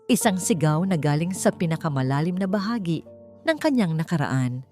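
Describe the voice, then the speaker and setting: A woman narrating a scripted story in a theatrical, suspenseful way with deliberate pauses. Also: on the low side, sing-song, a little mellow, soft background music. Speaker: audiobook narrator.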